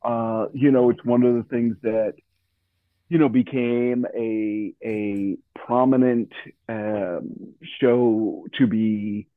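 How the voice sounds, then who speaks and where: A man chatting casually as a radio collector, with hesitant, halting phrasing, over a thin phone-like line. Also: medium pitch, steady tone, no echo. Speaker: old-time radio collector. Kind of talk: casual chat.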